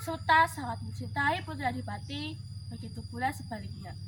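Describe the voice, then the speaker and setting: A woman telling a folk tale as scripted narration, with dramatic, deliberate phrasing, as a contest storyteller. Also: sits high, expressive. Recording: clearly noisy background.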